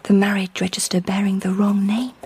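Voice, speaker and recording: A woman playing an anxious young Victorian bride, narrating a radio drama in hushed, intimate, literary asides. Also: mid-range voice, level tone, a little fast, slight background noise, natural voice. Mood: wistful, uneasy. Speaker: actor narrating as the lead.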